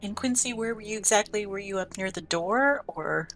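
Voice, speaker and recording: A woman's voice: medium pitch, normal speed, fairly bright tone. A woman talking casually as a player in a tabletop game, describing what her character does. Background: music.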